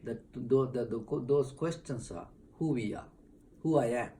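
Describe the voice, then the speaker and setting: A Sri monk with a warm, mellow voice, talking at a middling pitch. He explains patiently, stopping often on fillers and starting his sentences over, with a faint hum of noise behind him. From Sri Lanka. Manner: halting, with many fillers and restarts. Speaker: Buddhist monk. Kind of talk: explaining teachings in an interview. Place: temple.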